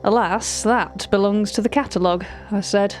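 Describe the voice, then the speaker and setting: A woman's voice: mid-range voice, fairly even intonation, moderate pace, darkish tone, soft background music, lightly acted. A woman playing a cultured, wry narrator in an audio drama, with a formal, precise, drily opinionated way of speaking. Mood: hushed unease, wry. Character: cultured, wry art-catalogue narrator recounting uncanny encounters. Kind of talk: scripted narration of an audio fiction.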